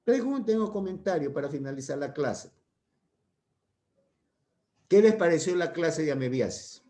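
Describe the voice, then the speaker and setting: An older male lecturer speaks in a mellow, dark-toned voice. He talks informally and drifts between points with hesitations and restarts. He keeps checking in with his students as he teaches a medical class. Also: a little high, lively intonation, normal speed. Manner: rambling, hesitant, full of "¿ya?" and "¿no?" tags.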